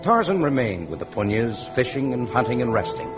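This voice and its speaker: A man's voice: medium pitch, big pitch swings, a little fast, over music. A man narrating a story in old-time radio style. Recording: muffled vintage radio recording.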